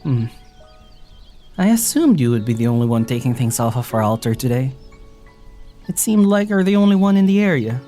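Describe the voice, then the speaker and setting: An actor playing a warm, teasing young traveler in an audio drama who laughs often and speaks sincerely. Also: mid-range voice, big pitch swings, faint music behind, natural voice. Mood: playful. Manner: playful, laughs often, unhurried.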